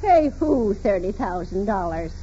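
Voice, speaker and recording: A woman's voice: mid-range voice, sing-song, a little slow, warm tone, slightly rough. An actress playing a despotic, irascible old invalid woman of wealth in a 1940s radio drama, imperious, suspicious and sarcastic. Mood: scornful, commanding. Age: older. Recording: muffled, noisy 1940s radio recording.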